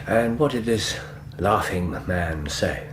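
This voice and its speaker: An actor playing a composed, inquisitive Victorian detective in a radio drama, asking measured, probing questions. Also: male voice, sits low, lively intonation, slight background noise. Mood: thoughtful, probing.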